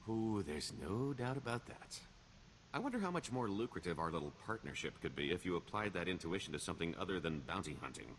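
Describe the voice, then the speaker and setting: Wry, deep-voiced roguish schemer. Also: male voice, very animated, a little fast, a little hiss or hum. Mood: sly, persuasive. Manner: wry, wheedling, theatrical sarcasm. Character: roguish male career criminal, wry, boastful, scheming veteran. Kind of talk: video game dialogue.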